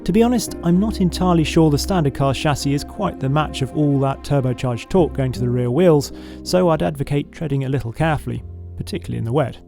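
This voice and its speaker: A man narrating in a smooth, measured scripted voice-over. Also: fairly high pitch. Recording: voice-over with music underneath.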